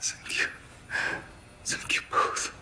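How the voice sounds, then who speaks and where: A man's voice: slow pace, bright, forceful tone, slight background noise. An actor playing a frightened, injured male patient who speaks hesitantly. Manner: hesitant, faltering.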